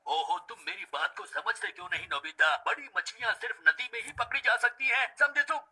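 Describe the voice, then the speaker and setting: A man voicing a boastful, defensive, grumbling middle-aged father in a Hindi cartoon dub, with a husky voice. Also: extremely high pitch, fairly quick, pushed and bright, exaggerated voice. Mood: puzzled, impatient.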